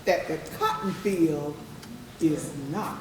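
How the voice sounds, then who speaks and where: A woman's voice: low-pitched, slow. A woman reciting a poem dramatically on stage in a live readers theatre performance. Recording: echoey hall, distant mic, faint noise.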